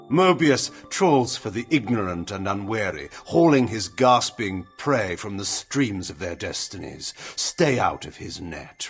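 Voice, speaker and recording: An ancient vampire lord in a fantasy game, speaks in a fairly low voice with faint music underneath. He is imperious and sardonic, delivering cryptic philosophy in measured, theatrical phrases. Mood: sardonic, warning. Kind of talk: theatrical scripted game dialogue.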